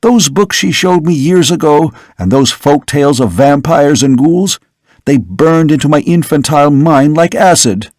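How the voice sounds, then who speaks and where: A man's voice: fairly high pitch, animated, moderate pace, a little mellow, no room sound, clean studio sound, pitched above the performer's own voice. A man reading an earnest young son in an audiobook, who pleads insistently and with passion. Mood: impassioned.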